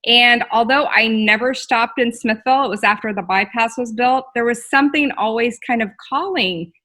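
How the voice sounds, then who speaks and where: Chatty woman in her forties, bright and forceful, interview guest on a video call. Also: fairly high pitch, moderate pace. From Texas. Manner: chatty and laughing, with filler ums. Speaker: guest, store owner. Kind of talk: casual interview.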